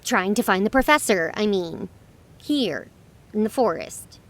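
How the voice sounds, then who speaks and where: A woman's voice: medium pitch, measured pace. A woman voicing an eager, outspoken young girl adventurer who blurts things out in a children's audio drama. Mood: chatty, flustered. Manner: excitable, blurting.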